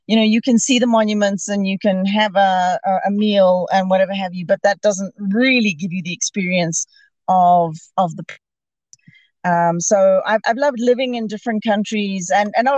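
A woman's voice: mid-range voice, darkish tone, dry recording. A woman from Zimbabwe, a podcast guest calling in, talking in a hesitant way with many restarts and fillers. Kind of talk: casual interview conversation. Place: calling in remotely.

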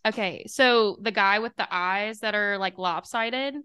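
A woman's voice: medium pitch, measured pace, bright, forceful tone, clear, resonant tone. A woman hosting an interview, chatty and curious, with frequent fillers. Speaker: podcast host. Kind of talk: conversational interview.

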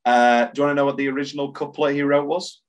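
A man in casual podcast talk, eager and quick to quote lyrics. His voice sits at an ordinary middle pitch for a man. He chats loosely, with restarts and laughter in his speech. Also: little pitch movement. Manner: enthusiastic, quotes lyrics aloud. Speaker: podcast co-host. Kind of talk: casual chat about musicals.

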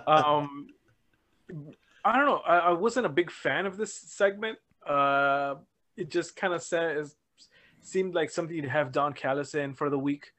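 A man's voice: on the high side, unhurried, sharp tone. A man chats hesitantly about wrestling, with frequent fillers between his thoughts. His voice sits a little above the usual male range. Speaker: podcast co-host. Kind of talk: casual wrestling chat.

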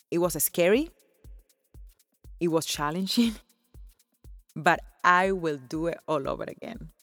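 Low-voiced woman podcast host. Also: dry recording. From Cuba. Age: 40s. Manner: effusive, earnest, laughs often. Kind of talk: personal podcast monologue.

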